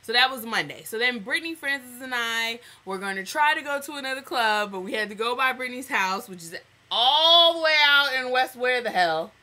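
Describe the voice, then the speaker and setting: A young American woman telling stories in a casual vlog, with an animated, sassy, slangy manner, acting out reactions as she goes. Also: fairly high pitch, brisk, few pauses. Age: young adult. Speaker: vlogger. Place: small indoor room at home.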